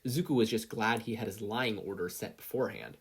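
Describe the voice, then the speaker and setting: A reader giving an animated scripted narration of a fan fiction story. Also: medium pitch, fairly quick, slightly rough.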